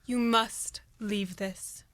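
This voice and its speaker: A young woman playing a resolute, coaxing queen in a Shakespearean radio play, measured and theatrical. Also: mid-range voice, fairly even intonation, a bit bright, no echo. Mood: coaxing, concerned. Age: young adult.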